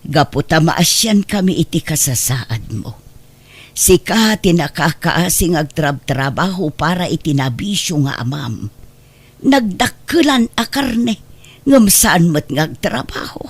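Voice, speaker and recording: A woman's voice: fairly low pitch, lively intonation, a little slow, slightly rough, exaggerated voice. An actress playing a caring, outspoken neighbour woman in a radio drama, concerned and ready to scold. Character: caring, outspoken older neighbour woman who employs the boy. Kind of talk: Ilocano radio drama acting.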